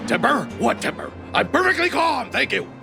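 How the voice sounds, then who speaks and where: Gravelly, paranoid, hot-tempered man. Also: very high-pitched, big pitch swings, normal speed, full character voice. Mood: defensive, irate. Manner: agitated, distrustful, blustering. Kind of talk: animated game voice acting. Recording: loud music behind the voice.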